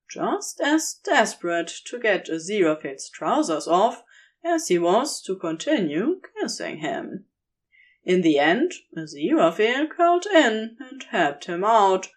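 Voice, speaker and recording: A woman reading intimate fiction as a narrator, in a measured, expressive storytelling manner. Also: mid-range voice. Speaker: audiobook-style podfic narrator.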